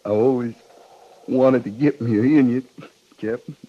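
A man acting a weak, wounded young soldier in a 1950s radio western, resigned and halting, with an American accent. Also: medium pitch, steady tone, lightly acted. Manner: halting, plain folksy speech. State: weak, fading. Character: dying wounded cavalry private, weakly stoic and resigned. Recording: dull noisy 1950s radio recording.

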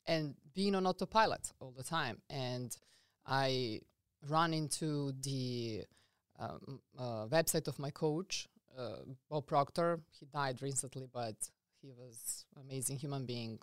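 Serbian-accented woman, smooth and earnest. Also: very deep voice, dry recording, clean studio sound. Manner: earnest, halting with many fillers and restarts. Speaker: guest, mindset coach. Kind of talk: casual interview conversation.

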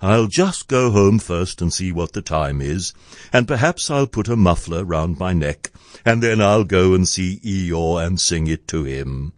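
An amiable, slow-witted storybook bear, played by a man reading aloud, with a lively, swinging melody to his speech. He sounds simple, earnest and a little ponderous, recorded close and dry. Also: deep voice, no room sound. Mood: happy, amiable. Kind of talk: children's storybook character reading.

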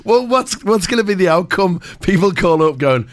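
A man's voice: high voice, expressive, normal speed, no echo. A man co-hosting a radio show, chatting casually and teasing his partner, laughing easily. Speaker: radio co-host.

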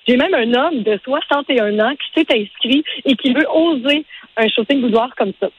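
A woman in her forties with a Quebec French accent, talking as a radio interview guest in a halting, conversational way. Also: sits high, phone-quality sound, crowd noise. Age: 40s. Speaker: interview guest, event organizer and matchmaker.

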